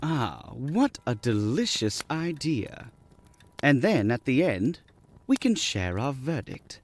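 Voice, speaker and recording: A man's voice: medium pitch, very animated, takes it slowly. A man playing an eager, genteel guest in an audio drama. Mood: delighted.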